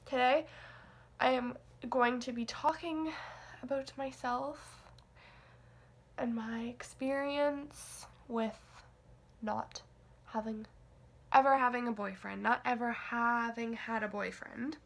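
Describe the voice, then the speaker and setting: A woman's voice: a little high, steady tone, slow pace, creaky, long pauses. A nineteen-year-old woman vlogging casually, rambling and hesitant, with lots of fillers and self-deprecating asides. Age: young adult. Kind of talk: casual confessional vlog.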